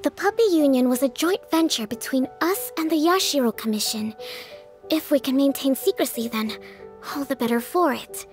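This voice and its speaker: A woman voicing a calm, gentle young leader in a video game, reassuring in tone. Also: high voice, normal speed, soft background music.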